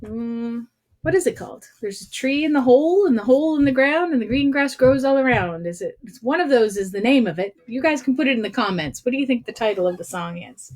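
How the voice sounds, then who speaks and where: A woman's voice: a little high, expressive, fairly quick, darkish tone. A woman hosting a casual livestreamed story time for young children, chatting in a sing-song way and putting questions to them. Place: informal livestream at a computer.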